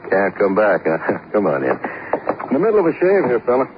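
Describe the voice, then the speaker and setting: A chummy, casual alumnus in a 1950s radio thriller. His slangy patter is glib and swings freely in pitch. The aged broadcast is dull, with faint hiss. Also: wildly swooping pitch, brisk. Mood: breezy. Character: breezy, chummy male university alumnus. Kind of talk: 1950s radio crime drama acting. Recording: muffled, hissy old radio broadcast.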